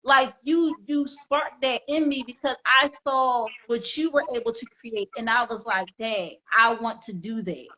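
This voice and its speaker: A young woman with a high, bright voice hosts a casual interview. She talks with emphasis and circles back over her words. The sound is somewhat dull and lo-fi. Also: a little slow, few pauses. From Philadelphia. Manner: emphatic, repeats words while building a point. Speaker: host and singer.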